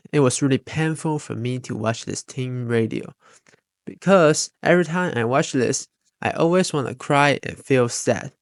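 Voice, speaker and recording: A man delivers scripted fan commentary at a medium pitch. His phrasing is non-native, with small grammar slips. The sound is dry and close, with no room. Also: creaky, dry recording. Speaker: video narrator, fan commentator. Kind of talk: scripted narration.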